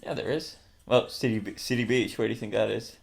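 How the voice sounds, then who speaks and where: A man's voice: lowish voice, steady tone, fairly quick, vocal fry, faint music behind. A young Australian man chatting casually as a gaming co-host, with a laid-back, slangy way of talking. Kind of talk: casual chat.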